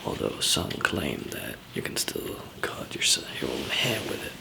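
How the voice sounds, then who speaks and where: A man murmurs an ASMR roleplay as a calm survivor in a zombie apocalypse. His voice is extremely deep and full of heavy vocal fry, often slipping into a whisper. He speaks haltingly, with hesitant pauses.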